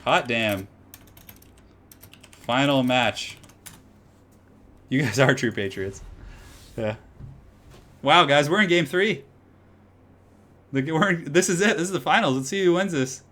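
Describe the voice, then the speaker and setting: A man casting a strategy game tournament live and chatting with viewers, his slightly raspy voice getting hoarse, with excitable loud outbursts. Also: a little high, slow, pauses often. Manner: excitable, breaks into loud exclamations.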